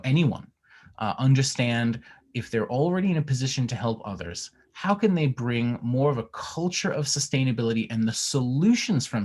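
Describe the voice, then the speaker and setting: A man heading an environmental group, answering an audience question on a video call with earnest, filler-heavy speech. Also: medium pitch. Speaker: organization head presenting.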